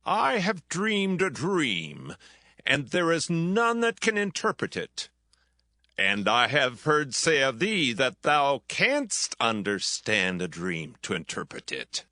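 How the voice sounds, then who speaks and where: Man playing a slow, troubled ancient king. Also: medium pitch, wildly swooping pitch, pushed and bright, no room sound, clean studio sound, full character voice. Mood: troubled, grave. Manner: solemn, deliberate, theatrical delivery. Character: troubled Egyptian king recounting a strange dream. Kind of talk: dramatized scripture reading. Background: soothing background music.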